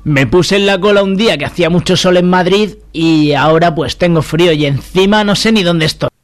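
A man's voice: high-pitched, brisk, natural voice. A man playing a bewildered, complaining ordinary man in a mock street interview on comedy radio. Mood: bewildered complaint.

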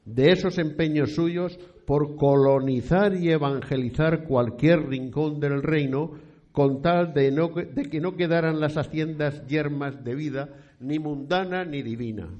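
A man's voice: on the high side, measured pace, a little mellow, muffled recording, close to an everyday voice. A man from a radio play, with an accent from Spain, playing a pious, nostalgic old eighteenth-century priest who speaks in formal, florid, archaic language. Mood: reflective, devout. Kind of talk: period radio play acting.

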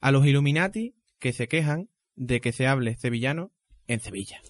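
A man's voice: medium pitch, animated, normal speed, no echo. A man presenting casually as a panellist on a radio show.

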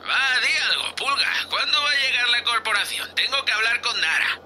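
A man's voice: very high-pitched, animated, moderate pace, bright, forceful tone, soft background music, heavily acted. A man playing a tipsy, cheeky young spaceship co-pilot in an audio drama, giggly and rambling. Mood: tipsy, playful. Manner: slurred, giggly, rambling drunken talk. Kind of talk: science fiction audio drama acting.

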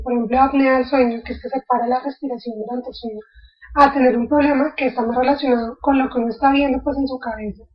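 Lady giving a casual lecture, high voice, kinda dull lo-fi sound. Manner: informal, thinking aloud with fillers and restarts. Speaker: educator. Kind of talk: explanatory video lecture.

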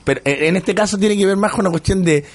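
A man's voice: high-pitched, fast talker. A man with a Chilean accent, co-hosting a casual talk show and speaking in a thoughtful, hesitant way with many restarts. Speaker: radio show co-host. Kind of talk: casual discussion.

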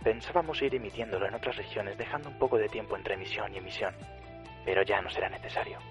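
Guy playing a challenging detective on a muffled broadcast, lowish, music faintly behind. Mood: cool, resolute. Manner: deliberate, challenging. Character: defiant, cool-headed male detective taunting a killer. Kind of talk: radio drama acting.

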